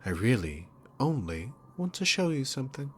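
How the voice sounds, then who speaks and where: A man's voice: mid-range voice, sing-song, a bit bright, a little hiss or hum. A man playing a smug, sarcastic, dominant demon king villain in an ASMR roleplay, mocking and condescending as he addresses the listener. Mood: teasing, dominant. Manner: mocking, condescending, unhurried, punctuated by chuckles.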